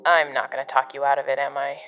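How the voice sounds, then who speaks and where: A woman's voice: very deep voice, level tone, quick pace, phone-quality sound, soft background music. A woman playing a jaded agency operative in an audio drama, dry, sarcastic and casual, heard through a radio filter. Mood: impatient. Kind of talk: audio fiction podcast acting.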